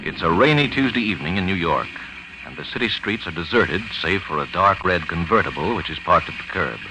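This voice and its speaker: A man's voice: low-pitched, animated, a little fast, poor audio. A man announcing and narrating in scripted 1940s radio style.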